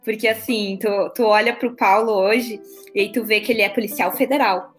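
A woman's voice: medium pitch, steady tone, normal speed, a bit bright, faint music behind. A woman in her thirties from Porto Alegre with a strong gaúcho accent, chatting casually as an interview guest, laughing easily and using plenty of fillers. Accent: Porto Alegre (gaúcho) Portuguese. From Porto Alegre, southern Brazil. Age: 30s. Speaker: guest, court officer and study mentor.

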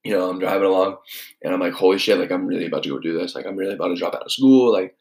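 A young man with a low, deep voice tells a personal story on his own podcast. He rambles along in a casual chat style and leans on filler words constantly. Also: fairly even intonation, rapid-fire, creaky, a little mellow. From New England. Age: young adult. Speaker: podcast host.

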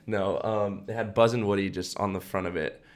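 A man's voice: on the low side, fairly even intonation, fairly quick. A man in his twenties chatting casually as a podcast co-host, rambling and repeating himself with lots of filler words. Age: 20s. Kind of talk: casual chat about films.

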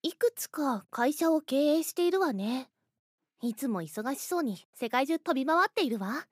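An actress playing a gentle, mysterious schoolgirl protector in an audio drama, speaking softly and soothingly. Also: high voice, lively intonation, moderate pace, dry recording, clean studio sound. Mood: calm, reassuring. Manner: soft, composed, soothing.

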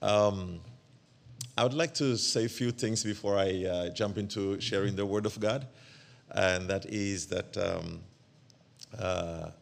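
Brief: a male guest preacher, lowish voice, gravelly, hesitant delivery. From Ethiopia. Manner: hesitant, halting, many fillers and restarts. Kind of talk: church sermon.

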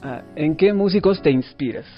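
A man's voice: fairly high pitch, expressive, normal speed, faint music behind. A man hosting a podcast interview conversationally, in a dull lo-fi recording. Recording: dull, lo-fi sound.

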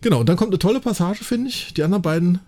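A man's voice: sits high, very fast, mellow. A man recapping and discussing a story casually as a podcast co-host, chatty and enthusiastic, often adding little tag questions for agreement.

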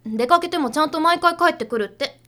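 A woman's voice: high-pitched, quick pace, bright, forceful tone. A woman playing a perky, pushy young idol in a radio drama, girlish, breezy and familiar. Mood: confiding.

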